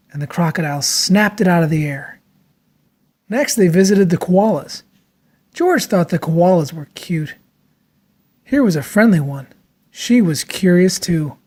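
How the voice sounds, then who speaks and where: A storyteller reads a picture book aloud for children. The voice sits at a middle pitch, and the delivery is expressive and theatrical, made for storytime. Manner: expressive, acts out character lines.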